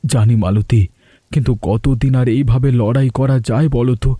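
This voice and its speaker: A man's voice: mid-range voice, fairly even intonation, normal speed, mellow, slightly rough, no room sound, lightly acted. A man voicing an anxious, weary, aging village father in a cartoon story, worried and despondent.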